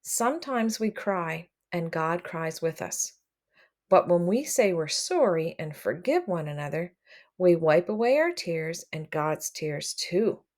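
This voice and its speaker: A woman reading a picture book aloud to children, expressive and addressing them directly as she comments along. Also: fairly low pitch, unhurried. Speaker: read-aloud storyteller for children.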